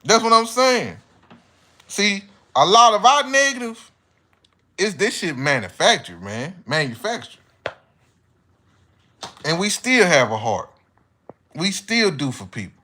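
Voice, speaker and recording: A man's voice: high voice, sing-song, a little slow, long pauses, bright, forceful tone. An American man giving a casual, opinionated monologue as an online commentator, speaking bluntly with heavy slang and swearing. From United States.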